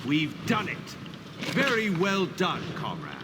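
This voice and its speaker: An actor playing a hearty, devout warrior smith who gives formal, emphatic encouragement in a video game. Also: male voice, high voice, wildly swooping pitch, slow, exaggerated voice. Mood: hearty, pleased. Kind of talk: video game character dialogue. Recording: clear background noise of a game scene.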